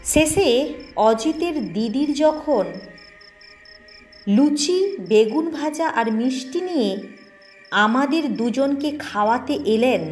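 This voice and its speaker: A woman reading a scripted first-person ghost story in a measured, expressive storytelling manner. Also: sits high, soft background music. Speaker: audio story narrator.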